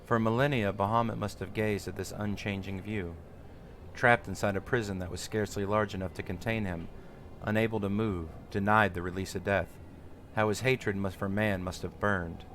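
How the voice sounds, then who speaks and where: A man voicing a solemn, articulate young nobleman from a fantasy game in formal, deliberate declamation. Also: lowish voice, fairly even intonation, fairly bright tone, a little hiss or hum, natural voice. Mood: pensive, grave. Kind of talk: dramatic reading of game dialogue.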